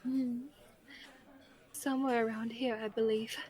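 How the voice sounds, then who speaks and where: A woman playing a gentle, devoted bride in a romantic audio drama, genteel, tender and politely formal. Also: fairly high pitch, flat delivery, unhurried, lightly acted. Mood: coy.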